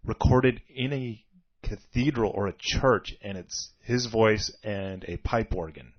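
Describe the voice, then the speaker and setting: A man hosting a music radio show in a studio, with a deep voice, chatting and rambling through music trivia. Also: takes it slowly. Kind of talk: casual music radio chat. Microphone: studio microphone. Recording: dull lo-fi radio recording.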